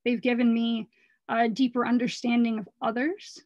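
A woman artist speaks over her slides in a slightly high, hoarse voice. She is earnest and rambling, restarting her phrases often. The sound is dry and close, with no room echo. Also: flat delivery, normal speed, darkish tone, lo-fi sound. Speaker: artist presenting her work. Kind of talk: casual talk over slides.

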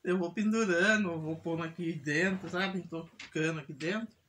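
Household noise and clicks, low-voiced Brazilian woman, casual home vlog chat, rambling, repetitive. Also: steady tone, moderate pace, fairly bright tone. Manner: rambling, repetitive, often ends with a checking tag. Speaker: home vlogger, mother. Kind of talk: casual chat while doing chores. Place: small room at home, indoors.

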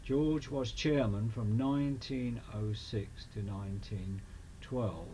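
Slow-paced English man narrating, noisy recording. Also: lowish voice, warm tone. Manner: measured, listing names and dates. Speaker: narrator of a local history film. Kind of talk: scripted documentary narration. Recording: clearly noisy amateur voice-over recording.

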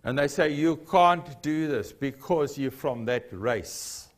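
A man's voice: fairly high pitch, takes it slowly. An older man from South Africa, a native Afrikaans speaker with a South African accent, preaching a sermon in a church hall with earnest emphasis and repeated key phrases. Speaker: pastor. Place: church hall with a lectern and a cross.

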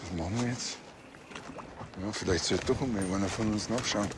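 A man's voice: lowish voice, a little fast, background noise, lightly acted. An actor playing a dry, matter-of-fact village policeman with a Bavarian accent in a TV comedy. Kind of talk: screen acting in a police comedy series.

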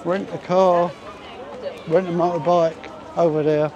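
High-voiced Cockney man strolling. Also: level tone, slow pace, highs cut off. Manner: chatty, thinking aloud as he strolls. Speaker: vlogger. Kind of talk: walking tour commentary. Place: outdoor resort streets. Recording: busy street crowd behind.

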